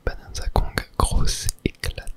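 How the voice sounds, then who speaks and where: Someone whispering casual, unhurried ASMR game commentary in a breathy, unvoiced voice, close to the microphone. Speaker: host playing a video game.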